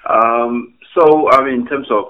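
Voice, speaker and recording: A man's voice: mid-range voice, measured pace. A man from Ghana, an academic guest on a podcast, answering thoughtfully with many fillers and restarts. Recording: tinny phone-like remote line.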